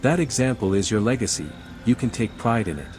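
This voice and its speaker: Fantasy RPG hero: composed man played by an actor, fairly low voice, understated scholar-envoy, music clearly underneath. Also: animated, fairly quick, a little mellow, natural voice. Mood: encouraging. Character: calm, steady male envoy, scholarly hero. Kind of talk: video game protagonist dialogue.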